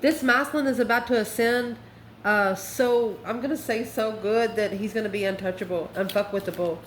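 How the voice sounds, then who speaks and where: A woman's voice: medium pitch, steady tone, normal speed. A woman giving an informal tarot reading as host, talking in a folksy, emphatic way and addressing listeners with endearments. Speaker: tarot card reader and channel host. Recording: roomy echo, faint background noise.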